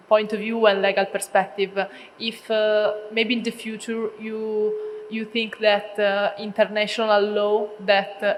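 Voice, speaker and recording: A woman moderating a panel, asking her questions hesitantly with many "uh" and "um" fillers. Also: medium pitch. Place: large ballroom. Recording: long hall reverb with faint noise.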